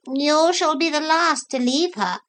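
A woman voicing a modest, kindly male parrot in a storybook reading, speaking slowly and gently. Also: fairly high pitch, animated, voice pushed up. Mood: consoling. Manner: slow, gentle and consoling.

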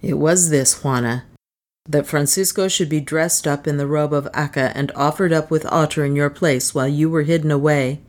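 A woman's voice: low-pitched, steady tone, normal speed, darkish tone, natural voice. A woman reading an audiobook as a solemn, proud man with a grave, formal manner. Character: solemn, proud adventurer man, protective but stern. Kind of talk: dramatised audiobook dialogue.

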